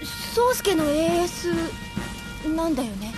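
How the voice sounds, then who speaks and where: A woman playing an outspoken high school girl in an audio drama, casual and expressive. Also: a little slow, over music, exaggerated voice. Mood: amazed. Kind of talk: Japanese audio drama acting.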